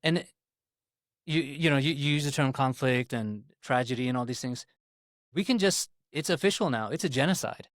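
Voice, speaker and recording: A man's voice: mid-range voice, moderate pace, no echo, studio quality. A man from Pakistan speaking as a podcast guest, earnest and impassioned, often repeating words as he makes his point. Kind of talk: casual political discussion.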